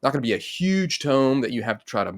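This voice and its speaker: A man's voice: mid-range voice, sing-song, fast. A middle-aged man hosting a casual interview about tabletop games on a video call, often saying "you know". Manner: peppers talk with "you know". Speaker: host of a tabletop game show. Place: indoor room at home, on a video call. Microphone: video call microphone.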